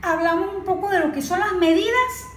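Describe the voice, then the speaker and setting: A woman's voice: very high voice, a little fast, a bit bright. A woman explaining as an expert in an interview, didactic, asking questions and answering them herself. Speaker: environmental expert being interviewed. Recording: echoey room with faint background noise.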